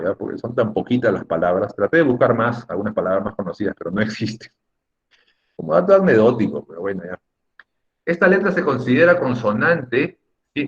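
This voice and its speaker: A man's voice: mid-range voice, lo-fi sound. A man teaching a class in an emphatic, didactic way, repeating key words and checking in with short tag questions. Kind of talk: Spanish lesson on accent rules for students.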